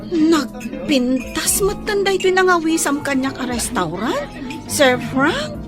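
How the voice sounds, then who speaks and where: A woman's voice: sits high, sing-song, slow, full character voice. A woman playing a humble young housemaid in love, with a hesitant, plaintive delivery in an Ilocano radio drama. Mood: shy, puzzled. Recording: radio drama with music underneath.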